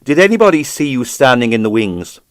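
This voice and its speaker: A man's voice: medium pitch, sing-song, no room sound, lightly acted. Man playing a dry, polite detective. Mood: polite, probing. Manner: measured, formal questioning. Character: polite, dry, persistent English male police detective.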